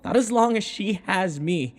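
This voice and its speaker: A man playing a devoted, stubbornly protective servant in an audio roleplay, speaking in earnest, halting pleas. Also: high voice, expressive. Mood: earnest, insistent. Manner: earnest pleading, halting with repeated words. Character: devoted young male servant, submissive, stubbornly protective of vampire mistress.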